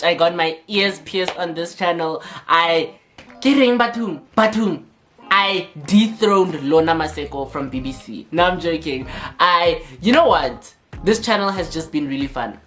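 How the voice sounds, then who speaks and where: A woman's voice: on the low side, a bit bright. A woman with a South African accent, talking to camera in a sassy, playful vlog and slipping between languages. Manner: sassy, playful, code-switching banter. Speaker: YouTuber. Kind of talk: casual vlog talk to camera.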